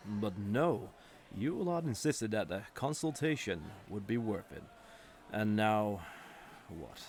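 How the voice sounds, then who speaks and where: An actor playing a haughty, sardonic villain commander in a roleplay audio drama, condescending and drawling, with mock-sweet menace. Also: male voice, lowish voice, darkish tone, a little hiss or hum. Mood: irritated, sneering.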